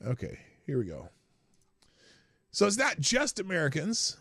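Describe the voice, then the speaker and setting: Dude hosting his stream, kinda high voice, swears, mutters while clicking around. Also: huge pitch swings, a little slow, creaky, a bit bright, breathy edge, no echo. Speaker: live-stream host. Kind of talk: casual solo commentary.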